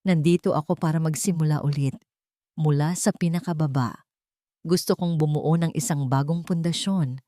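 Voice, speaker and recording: A woman's voice: low voice, steady tone, moderate pace, dark tone, no echo, studio quality, close to an everyday voice. A woman voicing a remorseful, wealthy male CEO who pleads humbly and earnestly in a romantic audio drama. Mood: earnest vowing.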